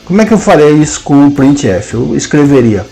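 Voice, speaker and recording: A man with a Brazilian Portuguese accent teaching a programming lesson, dictating code symbol by symbol with hesitant pauses. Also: a little high, sing-song, creaky, dark tone, faint music behind, low-quality recording. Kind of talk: slow screen-cast coding lesson, dictating code aloud.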